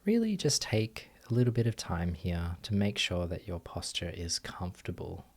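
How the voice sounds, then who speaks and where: A man guiding a meditation as a teacher, giving gentle instructions with long pauses. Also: low-pitched, very animated. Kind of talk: guided meditation.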